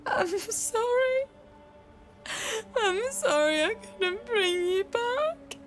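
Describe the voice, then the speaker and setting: An actress voicing a grieving young widow in a video game, speaking in a tearful, hushed way. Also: female voice, very high-pitched, lively intonation, drawn-out words, exaggerated voice.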